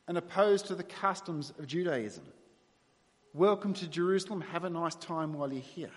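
A man with an Australian accent preaching a sermon to his congregation in a conversational, self-deprecating way. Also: sits high. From New South Wales, Australia. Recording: long reverberant hall sound.

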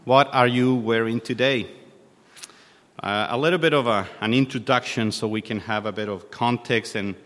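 A man in his thirties from Chile, a native Spanish speaker with a Chilean accent, preaching informally and haltingly with a self-deprecating manner. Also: medium pitch, a bit bright. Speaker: guest preacher. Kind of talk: sermon. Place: church hall. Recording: long hall reverb.

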